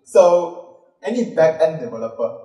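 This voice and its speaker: A Malaysian man in his thirties giving an upbeat conference talk and quizzing the audience with quick questions. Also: fairly high pitch, very animated, dark tone. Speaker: conference speaker. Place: large dark auditorium with a stage. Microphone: handheld mic. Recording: roomy hall sound from a distant camera.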